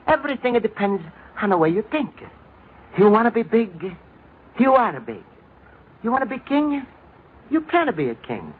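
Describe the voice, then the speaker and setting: A man's voice: high-pitched, big pitch swings, normal speed, long pauses. An actor playing a good-natured, naive Italian immigrant man with an Italian accent and singsong broken English in an old-time radio comedy. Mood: gentle, encouraging. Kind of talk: 1940s-50s radio comedy acting. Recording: noisy, tinny old-time radio recording.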